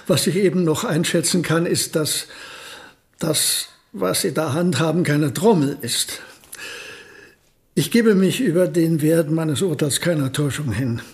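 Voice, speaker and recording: A modest, understated old physicist with a dry, ironic wit in a radio drama. His voice sits somewhat high for a man, and his remarks come out calm and deadpan. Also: measured pace. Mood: wry. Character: wry, modest elderly male physicist visitor. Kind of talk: radio play acting.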